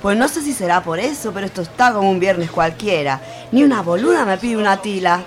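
A woman's voice: mid-range voice, lively intonation, fairly quick, natural voice. A woman playing a nosy, gossipy market woman with an Argentine accent and slangy talk in a radio comedy serial. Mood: grumbling. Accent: Argentine Spanish. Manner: slangy, inquisitive.

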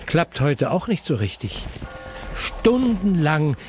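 A man narrating a children's audio story in an expressive, playful way. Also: a little high, slow pace, over music.

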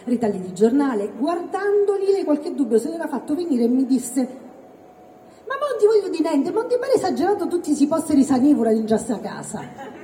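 Female stand-up comedian, very lively intonation, high voice, theatrical, echoey hall. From Calabria, Italy. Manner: theatrical, exclamatory. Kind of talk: live stand-up comedy monologue. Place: live venue with ambience behind. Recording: echoey hall, faint noise.

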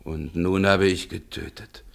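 An actor in a German radio play playing a shaken, brooding man who has killed, speaking in a hushed, halting way. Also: sits low, steady tone, vocal fry.

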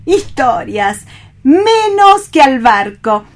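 Woman acting a high-voiced, bossy, flamboyant seductress. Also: very animated, extremely slow, full character voice. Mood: impatient, commanding. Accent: Spain. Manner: imperious, theatrical. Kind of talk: radio play reading.

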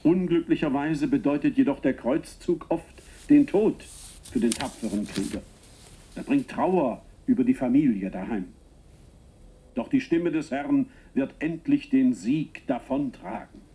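An actor playing a zealous American propagandist who delivers a solemn, pompous political sermon in a radio play. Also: male voice, mid-range voice, a little slow, dark tone, lightly acted. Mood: solemn, self-righteous.

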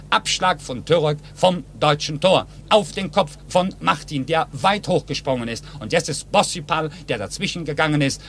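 A man's voice: fairly high pitch, a bit bright. A man giving detailed live play-by-play football commentary on 1950s radio, in short clipped phrases from a stadium. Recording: noisy old 1950s radio recording.

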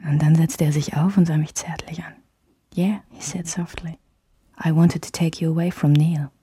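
A woman's voice: sits low, fairly even intonation, normal speed, warm tone, slightly rough, dry recording. An actress playing a wistful, candid woman recalling a love triangle in intimate, reflective first-person radio drama narration. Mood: tender, intimate. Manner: reflective, alternates German with English phrases.

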